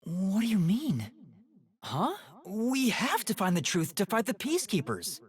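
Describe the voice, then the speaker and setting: A man's voice: sits high, wildly swooping pitch, a little slow, husky, heavily acted. A man voicing an earnest, timid young male detective in a video game, with a light boyish voice and a hesitant, thoughtful way of thinking aloud. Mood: surprised, uneasy, then determined, urgent. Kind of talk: video game voice acting, investigative monologue.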